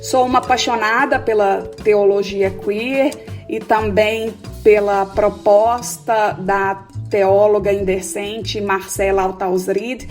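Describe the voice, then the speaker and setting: A woman in her forties from Belo Horizonte with a Mineiro accent, introducing herself as a theologian guest in a measured way. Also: medium pitch, little pitch movement, soft background music. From Belo Horizonte, Brazil. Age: 40s. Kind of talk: self-introduction in an interview.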